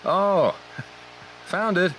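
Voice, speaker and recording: An actor playing a vague, sluggish, distracted father in a stylized horror drama, halting and absent-minded. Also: medium pitch, low-quality recording. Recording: loud background noise and hum.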